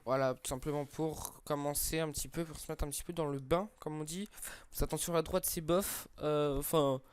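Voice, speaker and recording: A man's voice: a little high, fairly even intonation, fairly quick, smooth. Congested young man rambling through game summons. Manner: rambling, hesitant, crude slang, many fillers. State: slightly congested from a cold. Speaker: gaming YouTuber. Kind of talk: casual gacha summon commentary.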